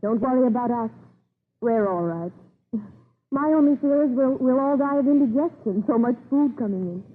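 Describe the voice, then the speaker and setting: A woman's voice: on the high side, expressive, moderate pace, husky. An actress playing an affectionate, spirited young colonial woman in a 1930s radio drama. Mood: concerned, affectionate. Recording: crackly, narrow-band 1930s radio recording.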